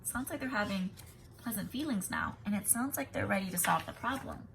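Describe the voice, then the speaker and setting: A woman teaching young children, reading a picture book aloud expressively and explaining as she goes in an animated way. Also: medium pitch, fairly quick, gravelly, very noisy. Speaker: kindergarten teacher.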